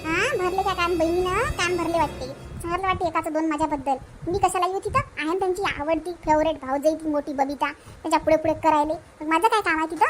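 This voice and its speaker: A woman's voice: extremely high pitch, fairly even intonation, fairly quick. A woman voicing a bitter, defiant young wife, sarcastic in a cartoon family quarrel. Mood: sarcastic, resentful. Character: bitter, defiant young wife sulking at her parents' home.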